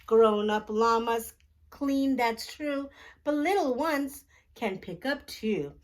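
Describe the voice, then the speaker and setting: A woman hosting storytime as a teacher, reading a picture book aloud to young children with deliberate, expressive phrasing. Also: fairly high pitch.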